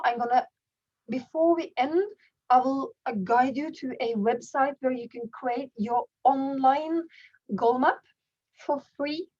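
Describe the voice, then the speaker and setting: Higher-pitched Norwegian woman leading a workshop. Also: darkish tone, lo-fi sound. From Norway. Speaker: workshop trainer. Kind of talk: informal online training presentation.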